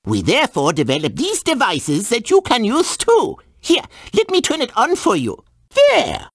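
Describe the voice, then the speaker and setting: An excitable video game scientist, with a high, hugely swooping voice. The delivery is flustered, mixing stammers with gushing exclamations. The sound is very dry. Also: female voice, moderate pace, dry recording, heavily acted. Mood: explanatory. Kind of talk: animated video game character dialogue.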